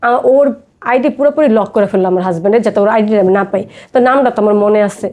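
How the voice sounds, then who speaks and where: Bangladeshi lady telling her life story, talks fast, soft mellow voice, casual. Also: medium pitch. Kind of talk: personal storytelling in casual talk.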